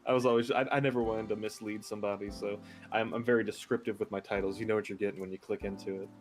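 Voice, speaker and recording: A man's voice: on the low side, flat delivery, quick pace, music in the background. A man chatting casually as a stream guest, slangy, informal and enthusiastic in the way he talks. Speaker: stream guest, ASMR voice actor. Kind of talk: casual chat.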